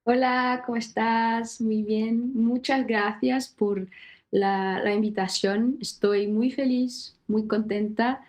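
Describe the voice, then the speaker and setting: A Brazilian woman, a singer-songwriter whose first language is Portuguese, speaking careful, halting Spanish with a Brazilian accent as a podcast guest. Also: on the high side, steady tone, a little mellow. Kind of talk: casual interview talk.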